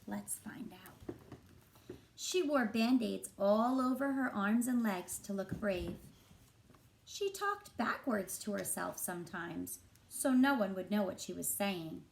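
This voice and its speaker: A woman reading a picture book aloud to children as a teacher, in an expressive, deliberate and engaging way. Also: mid-range voice, vocal fry, slight background noise, low-quality recording. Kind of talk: expressive storybook narration for children.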